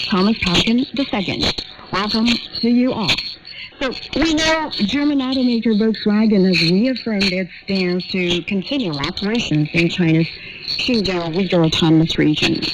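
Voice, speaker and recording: Woman hosting radio talk through heavy static. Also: medium pitch. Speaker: radio talk show host. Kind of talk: moderating a panel discussion. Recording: noisy shortwave radio, static and clicks. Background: heavy noise, clicking.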